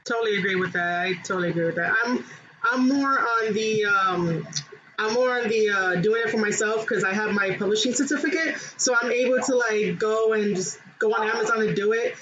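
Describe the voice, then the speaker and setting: A casual podcast host who agrees warmly and asks easygoing questions full of "um" and "like." The recording is a little dull, with a faint hiss behind it. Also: mid-range voice, fairly quick. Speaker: interview host.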